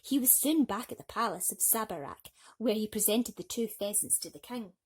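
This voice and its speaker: Woman telling kids a bedtime story, theatrical, bit husky, pitch swings loads. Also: lively intonation, normal speed. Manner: theatrical, addresses young listeners. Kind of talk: animated bedtime story reading for children.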